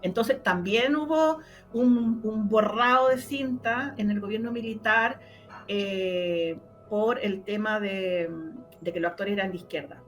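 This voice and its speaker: A woman's voice: medium pitch, a little slow, soft background music. A Chilean woman answering interview questions conversationally, emphatic and repeating phrases for stress. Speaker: interviewed radio drama creator.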